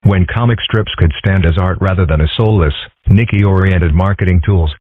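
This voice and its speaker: Man as a deadpan cartoon critter, low and husky. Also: normal speed, dry recording. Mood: wry. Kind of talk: comic strip dub. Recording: muffled phone-like band.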